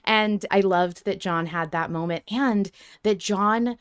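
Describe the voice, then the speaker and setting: A woman co-hosting a podcast, talking casually in an earnest, expressive and reflective way about a story. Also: medium pitch, slow pace, smooth, dry recording. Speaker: podcast co-host. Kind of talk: casual fan discussion of a story.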